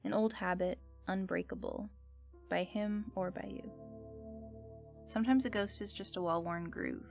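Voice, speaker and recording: A woman playing the musing host of an eerie fiction radio show, speaking in a measured, contemplative way. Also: medium pitch, steady tone, creaky, a little mellow, faint music behind. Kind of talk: scripted reflective fiction narration.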